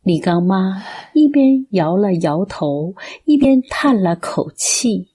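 A woman's voice: mid-range voice, expressive, takes it slowly, dark tone, breathy edge. A woman narrating a radio drama from a script as its storyteller.

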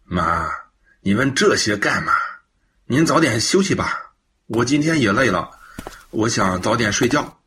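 A man's voice: mid-range voice, expressive, measured pace, sharp tone, slight background noise. A man playing a nervous, evasive but dutiful son in a radio drama, speaking in a hesitant, flustered way. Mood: weary, impatient.

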